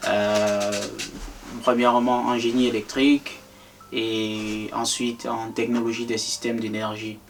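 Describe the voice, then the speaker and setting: A man's voice: mid-range voice, flat delivery, unhurried, soft background music. A young man from Cameroon, a guest author answering interview questions with many hesitations and restarts. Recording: distant-sounding voice.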